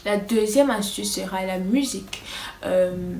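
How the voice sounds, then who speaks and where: A woman's voice: mid-range voice, unhurried, darkish tone, echoey room, recorded from a distance, a little hiss or hum. A woman whose first language is English teaching English in casual French, hesitant, with many fillers and a nervous, stop-start delivery. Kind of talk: casual vlog-style lesson.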